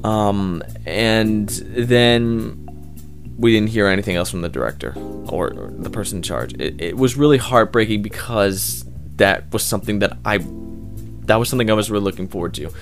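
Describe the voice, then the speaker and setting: Young guy voice actor chatting, low-ish voice, lots of um and uh, quiet music under it. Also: moderate pace. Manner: rambling, many fillers and restarts. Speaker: voice actor interviewee. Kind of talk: casual interview answers.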